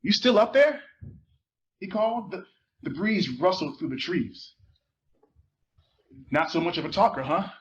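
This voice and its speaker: A man's voice: high voice, lively intonation, unhurried, pauses often. A man giving a dramatic reading of a novel, narrating and acting out its dialogue. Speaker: theater performer reading a book excerpt aloud. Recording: muffled live recording with faint noise.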